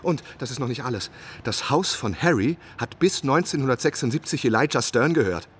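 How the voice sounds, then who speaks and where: A man's voice: medium pitch, expressive, a little fast, breathy edge. A man playing an eager, inquisitive novelist turned investigator in a radio drama, earnest and probing. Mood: excited. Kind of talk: radio drama narration and dialogue.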